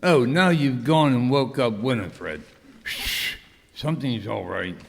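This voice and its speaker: A man's voice: mid-range voice, takes it slowly, creaky, background noise. A man playing a fussy, protective castaway in an amateur radio comedy skit. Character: fussy, protective male castaway devoted to pet. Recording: echoey hall.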